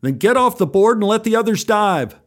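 A man's voice: high voice, lively intonation, a little fast, pitched above the performer's own voice. A man voicing a stern, exasperated pool lifeguard barking orders in a told story. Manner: loud, barking, commanding. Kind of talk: acted dialogue in a told story.

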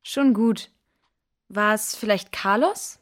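A woman playing a calm, reassuring girl in a children's audio drama, with a gentle manner. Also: mid-range voice, takes it slowly, natural voice. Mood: soothing.

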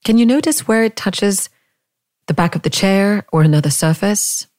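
A woman leading a guided meditation, speaking in gentle, inviting questions with long pauses between phrases. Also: medium pitch, expressive, no room sound, studio quality. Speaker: meditation teacher.

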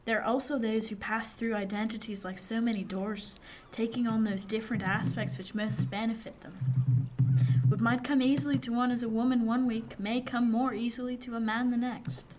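A woman playing a self-possessed, formal seafaring man in a period radio drama, speaking with deliberate care. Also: fairly high pitch, steady tone, darkish tone, soft background music, lightly acted. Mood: measured, respectful.

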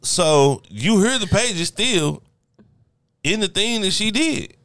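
Middle-aged guy bantering on a podcast, deep carrying voice, very expressive, blunt slangy talk, dry mic. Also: slow, smooth, no echo. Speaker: podcast co-host. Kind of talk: casual banter with wife. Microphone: own podcast microphone.

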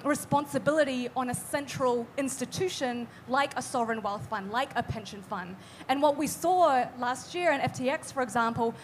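Young woman from New Zealand, high-pitched, earnest live-panel guest; faint background hum. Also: a bit bright. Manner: chatty, earnest, many fillers and restarts. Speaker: artist guest. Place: live event stage.

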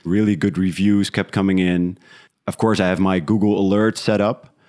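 A man from the Netherlands with a fairly low voice speaks as an interview guest. His talk is casual and reflective, like an unscripted conversation. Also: little pitch movement, normal speed, a little mellow. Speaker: interview guest, audio drama creator.

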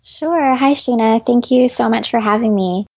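A woman's voice: a little high, moderate pace, darkish tone, no echo. A woman from Chicago, speaking as an actress guest in a casual interview, chatty and laughing often, with lots of "like" and "you know". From Chicago, US Midwest.

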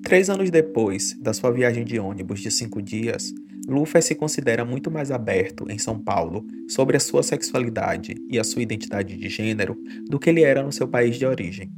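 Male narrator over loud music. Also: mid-range voice. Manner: measured, even reading. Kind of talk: scripted documentary narration. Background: strong music bed.

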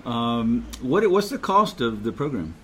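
A man's voice: mid-range voice, sing-song, normal speed, a little hiss or hum. A man from the United States hosting an interview show, asking rambling, casual questions.

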